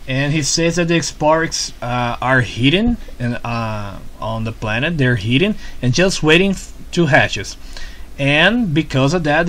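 Excitable man with a Brazilian accent. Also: mid-range voice. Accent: Brazilian Portuguese. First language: Portuguese. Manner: excitable, repeats words, adds "né?" tags. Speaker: show reviewer. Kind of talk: casual review commentary.

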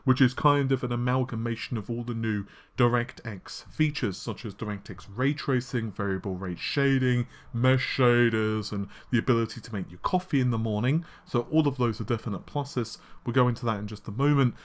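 Man with a slightly scratchy voice. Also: medium pitch. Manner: chatty, fast asides with fillers. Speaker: tech news host. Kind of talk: casual solo tech commentary. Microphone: laptop recording setup.

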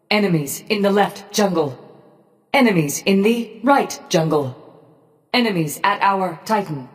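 A woman voicing a cold, menacing robotic gorgon in a video game, giving short commands in a measured, detached, machine-like way. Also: medium pitch, long reverb tail. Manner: slow, measured, emotionally detached machine-like delivery. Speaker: game character voice pack.

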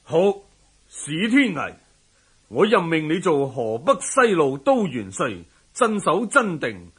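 A man's voice: fairly high pitch, very animated, slow, breathy edge, exaggerated voice. A man with a Hong Kong Cantonese accent playing a commanding, hearty Mongol warlord in a radio drama. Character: exultant Mongol warlord, commanding king of the army.

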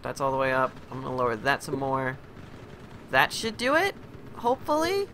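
A man chatting casually with friends while streaming an online shooter game, excitable and quick to shout. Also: mid-range voice, slight background noise. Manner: excitable, shouts and laughs during play. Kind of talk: casual gaming chat.

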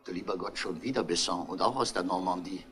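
An actor playing a shrewd, gruff male police commissioner with terse, probing speech, in 1960s German radio drama. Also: sits low, quick pace, fairly bright tone. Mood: gruff, dry.

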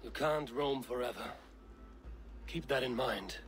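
An actor playing a loyal, teasing Viking warrior and old friend in a video game cutscene. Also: male voice, mid-range voice, a little slow, a little hiss or hum, lightly acted. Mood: earnest, caring.

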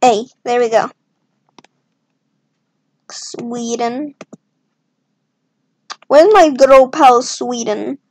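High-voiced woman, slow rambling commentary. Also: darkish tone, dry recording. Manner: rambling, self-talking, jokey asides. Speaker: map-making video creator. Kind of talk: casual commentary while drawing.

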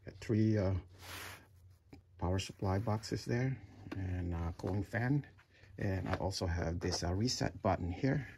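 A middle-aged man giving a casual walkthrough of his home-built project, halting with many fillers and restarts. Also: low voice, gravelly, dark tone, slight background noise. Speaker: DIY builder. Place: home room with sim cockpit, indoors.

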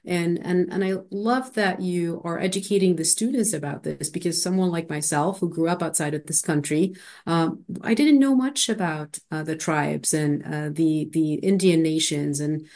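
Woman, from Egypt, podcast co-host, lowish voice, halting, many fillers, webcam. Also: a little mellow. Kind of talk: interview questions over video call. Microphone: video call webcam.

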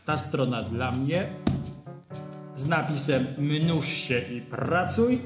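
A man's voice: fairly high pitch, slow. A man playing a pushy, fawning bureaucrat neighbour in a radio drama, talking in a wheedling way. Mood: boastful. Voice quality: chatty, wheedling. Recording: roomy echo with music behind.

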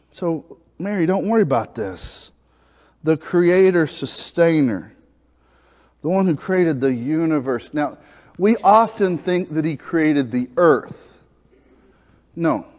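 A man, preaching a lively sermon in a church sanctuary on a lapel mic, acting out scenes as he moves about. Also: a little high. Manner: animated preaching, acts out biblical dialogue, moves about the stage. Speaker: pastor. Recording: reverberant church hall.

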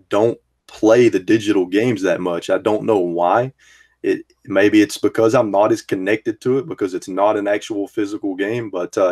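A man's voice: fairly low pitch, darkish tone. A man of thirty hosting a casual video-call discussion, speaking earnestly and emphatically from his game room with a headset and desk mic. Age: 30s. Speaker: host. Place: game room full of collectibles.

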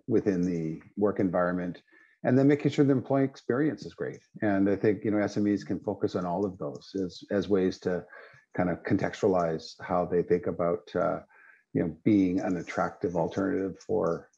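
An older man speaks from his office over a video call. His deep, mellow voice often stumbles and restarts mid-sentence. The audio is slightly muffled. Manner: hesitant, stammering restarts. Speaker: panelist. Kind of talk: video-call panel discussion.

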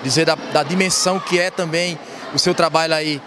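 A man's voice: fairly high pitch, steady tone, brisk. A man in his thirties from northeastern Brazil, a former athlete turned motivational speaker, answering an interview earnestly into a handheld mic in a school gym full of students. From Rio Grande do Norte, Brazil. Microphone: handheld reporter mic. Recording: loud crowd noise in a gym.

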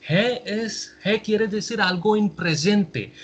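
Indian-accented man, native Hindi speaker, patient teacher; very slow, drawn-out delivery, slightly husky. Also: high voice. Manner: repeats each phrase patiently, drawing out words. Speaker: language teacher. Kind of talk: slow spoken language lesson. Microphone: voice-over over slides.

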